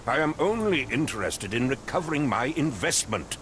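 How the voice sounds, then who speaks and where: An actor playing a pompous, impatient middle-aged captain in a video game, with an English accent and clipped, condescending speech. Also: male voice, medium pitch, big pitch swings, moderate pace, fairly bright tone, slight background noise. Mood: impatient, irritated. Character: pompous, impatient male English captain, haughty wealthy master.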